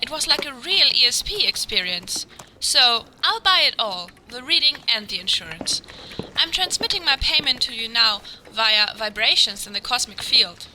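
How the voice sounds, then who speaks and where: A woman's voice: fairly high pitch, animated, moderate pace, pushed and bright, slight background noise, rough recording. A woman playing a wide-eyed, earnest and easily impressed astrology customer in a comedy podcast sketch. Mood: amazed.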